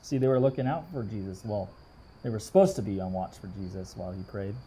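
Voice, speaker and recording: A man reading a storybook aloud for children in an explanatory way, pausing to question his young listeners. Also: lowish voice, animated, warm tone, husky, poor audio. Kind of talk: expressive children's read-aloud with asides.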